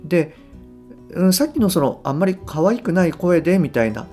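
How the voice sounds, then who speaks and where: A middle-aged man talking unscripted as a podcast host and coach, easygoing and unhurried, explaining things to the listener with gentle emphasis and hesitant phrasing. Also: sits high, very animated. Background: guitar music.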